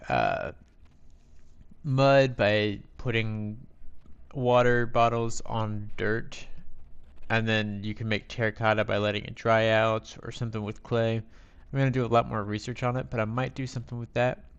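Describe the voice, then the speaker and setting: A man with a raspy voice giving casual live gameplay commentary, rambling and thinking aloud in a self-deprecating, playful way. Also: lowish voice, measured pace, muffled recording. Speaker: game streamer.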